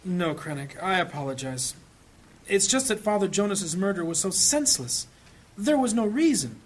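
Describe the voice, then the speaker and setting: A man voicing a gentle, anxious, pious priest with a husky voice in a fantasy audio drama. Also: very animated, normal speed, slight background noise. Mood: sorrowful, conciliatory. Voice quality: high, husky.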